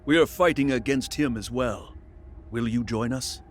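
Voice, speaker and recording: An actor playing an earnest, sober warrior ally in a video game, plain and serious. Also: male voice, mid-range voice, moderate pace, slight background noise, close to an everyday voice. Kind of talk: video game cutscene acting.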